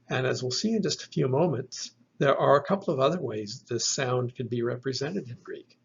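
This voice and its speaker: A man lectures at an unhurried, deliberate pace, his voice gravelly and a little husky. He spells out letters and repeats each sound twice so students can follow. The recording is dull and lo-fi. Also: medium pitch. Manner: slow, methodical, repeats each sound for the listener. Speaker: Greek language teacher.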